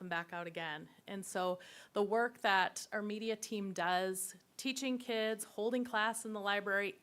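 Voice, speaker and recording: A woman's voice: mid-range voice, fairly bright tone. Upbeat woman presenting to a board. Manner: upbeat, explaining slides. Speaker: district staff presenter. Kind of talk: presentation at a public board meeting.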